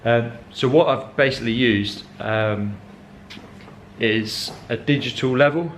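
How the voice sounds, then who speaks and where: A man's voice: medium pitch, measured pace, a little hiss or hum. A young man chatting casually in a car vlog, rambling with many ums and correcting himself as he goes. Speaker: car vlogger.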